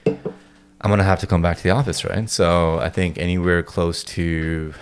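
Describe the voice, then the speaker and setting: A man hosting his podcast in a studio, talking in a relaxed, casual way with lots of "um" and "you know" and repeated words. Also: low voice, normal speed, smooth. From Toronto area, Canada. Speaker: podcast host. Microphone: studio headphones and mic.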